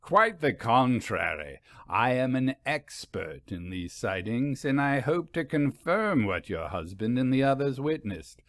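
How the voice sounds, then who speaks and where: A man's voice: medium pitch, very animated, unhurried, few pauses, a bit bright, no room sound, clean studio sound. A man voicing a courteous, polished professor with an English accent in a storybook reading. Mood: serene, reassuring.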